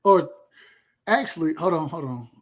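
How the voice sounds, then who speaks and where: Man, 30s, Georgia Southern accent, slightly high voice, emphatic casual podcast talk, repeats himself. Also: animated, moderate pace. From rural Georgia, USA. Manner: emphatic, repeats phrases, slangy and profane. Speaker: podcast speaker. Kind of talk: casual chat.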